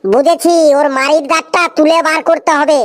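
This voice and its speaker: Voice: sits high, fairly even intonation, a little fast, close to an everyday voice. A performer voicing a sarcastic, brusque cartoon doctor who scolds patients in a comedy skit. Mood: businesslike.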